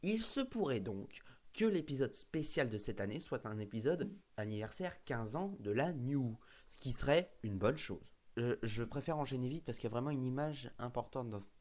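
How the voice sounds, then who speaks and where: A man's voice: medium pitch, lively intonation, moderate pace, darkish tone. A man with a slight cold hosting a casual fan discussion, talking, hesitant and full of fillers and restarts. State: has a cold. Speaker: host.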